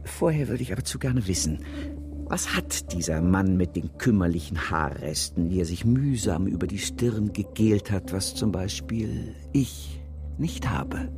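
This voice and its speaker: An actor playing a jealous, sardonic, petty and grumbling PR operative in a German radio drama, sarcastic and given to trailing off. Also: male voice, low voice, lively intonation, measured pace. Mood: businesslike, jealous. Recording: music behind the voice. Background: music.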